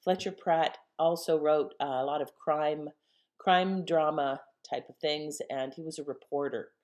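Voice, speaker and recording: A woman's voice: low voice, steady tone, unhurried, a little mellow. A woman hosting with informal, conversational commentary. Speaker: channel host. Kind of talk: casual spoken commentary.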